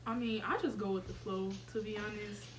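A woman's voice: medium pitch, steady tone, brisk, noisy background. A young woman from Chicago chatting in a laid-back, blunt way. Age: young adult. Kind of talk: casual chat.

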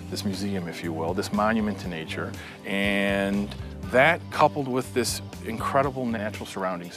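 An American man in his forties giving considered interview answers about a family business, speaking outdoors. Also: on the low side. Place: outdoors among trees. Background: music.

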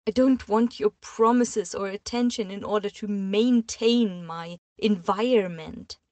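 A woman's voice: medium pitch, a little slow, darkish tone, dry recording, close to an everyday voice. A woman voicing a distraught young woman who pleads through tears, her voice trembling and breathless. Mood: tearful defiance. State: crying, hyperventilating.

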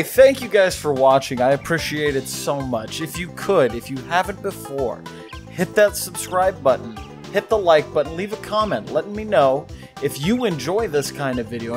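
A man hosting a video with casual, chatty, jokey YouTube commentary. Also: fairly high pitch. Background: music.

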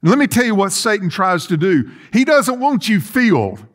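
A man's voice: high voice, sing-song, normal speed, a bit bright. A middle-aged man preaching a sermon in a large church sanctuary, pacing and gesturing as he talks with earnest restarts. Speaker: pastor. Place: large church sanctuary with rows of chairs, indoors.

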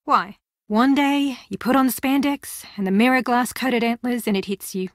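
A woman's voice: a little high, normal speed, dry recording, studio quality, close to an everyday voice. A woman voicing a scheming, theatrical young female reality-show contestant in a video game, sassy, boastful and combative. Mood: wry, casual.

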